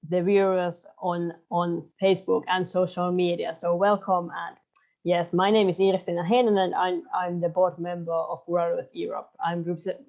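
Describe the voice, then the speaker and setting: A woman's voice: lowish voice, darkish tone. Young woman, twenties, moderator, polite and hesitant, dull muffled call audio. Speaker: panel moderator. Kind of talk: moderating a panel on a video call.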